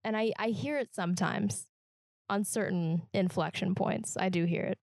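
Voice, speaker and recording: A woman from Kansas with a Midwestern American accent, co-hosting a podcast in a reflective, halting way that often restarts phrases. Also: mid-range voice, creaky, a little mellow, no echo, clean studio sound. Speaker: podcast co-host. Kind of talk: casual podcast conversation.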